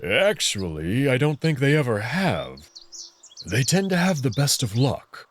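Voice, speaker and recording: A man voicing a proud, confident young alien wolf prince in a visual novel, friendly but full of hubris, speaking with expressive self-assurance. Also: mid-range voice, measured pace, slight background noise. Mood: proud, dismissive.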